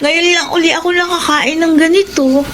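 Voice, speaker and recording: A woman's voice: extremely high pitch, steady tone, normal speed. A woman playing a polite, grateful orphaned street girl in a Filipino radio drama. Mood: grateful, sad. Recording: noisy radio drama ambience.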